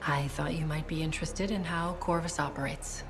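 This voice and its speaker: An actress playing a cool, probing intelligence agent in a video game, with a measured, guarded and dry way of talking. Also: female voice, sits low, fairly even intonation. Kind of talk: video game character acting.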